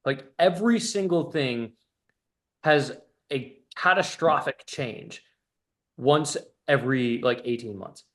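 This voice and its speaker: A man's voice: medium pitch, slow pace, fairly bright tone. A man coaching on a casual call, blunt and profane, with an emphatic, stop-start delivery that often repeats itself. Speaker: business coach.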